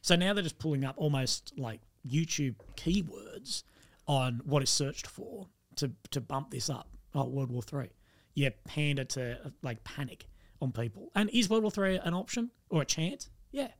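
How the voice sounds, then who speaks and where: A man's voice: on the high side, lively intonation, normal speed. A man co-hosting a podcast in easygoing, joking chat. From Australia. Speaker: podcast co-host. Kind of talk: casual chat.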